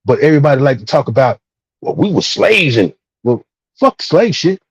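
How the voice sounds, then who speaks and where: A man talking casually and profanely in a discussion, emphatic, repeating himself and halting as he builds his point. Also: medium pitch, sing-song, breathy edge. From Texas, United States. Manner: emphatic, repetitive, halting phrasing. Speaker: discussion participant. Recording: dull, roomy, muffled lo-fi audio.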